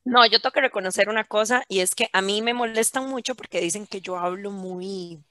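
A young woman with a Costa Rican accent, chatting casually as a podcast co-host and telling animated stories. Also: mid-range voice, a little fast, no room sound. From Costa Rica. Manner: animated storytelling, mimicking others' slang. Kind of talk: casual chat.

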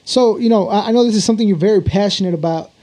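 A man's voice: high voice, very fast, warm tone. A man hosting a show and asking questions in a casual interview style.

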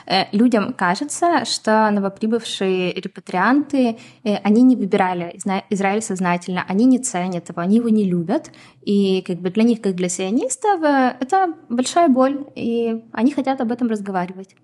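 A woman from Ukraine, a podcast guest, answering questions in a measured, explanatory way with frequent pauses and fillers. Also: mid-range voice, expressive, darkish tone. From Donetsk, Ukraine. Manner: measured, explanatory, many hesitations. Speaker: guest, community founder.

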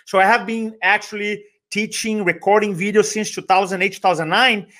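A man from Brazil with a Brazilian accent talks with energy in a podcast interview, his voice sitting a little high for a man. He doubles up his words for emphasis and acts out little bits of dialogue as he tells his story. Portuguese is his first language. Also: steady tone, brisk, sharp tone. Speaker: guest, speaker and trainer.

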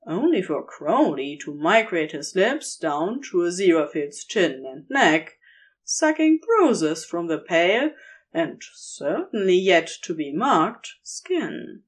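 Female narrator for romantic audio fiction, unhurried delivery with lively melodic swings. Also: on the low side. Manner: slow, measured, expressive reading. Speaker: audiobook-style podfic narrator. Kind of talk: scripted fiction narration, intimate storytelling.

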